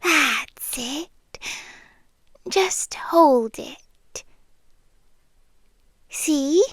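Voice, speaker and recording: A British woman playing a possessive, tender demi-human yandere girlfriend in an ASMR roleplay, softly and breathily, often dropping to a whisper. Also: sits high, lively intonation, dry recording, clean studio sound, full character voice. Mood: hushed, possessive. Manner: slow, deliberate, pausing between short phrases. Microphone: close, dry microphone.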